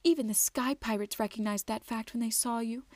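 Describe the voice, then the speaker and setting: A woman voicing a mother troll who speaks softly and haltingly. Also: on the high side, dry recording, lightly acted. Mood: tearful. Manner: soft and halting. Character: tender, weeping mother troll.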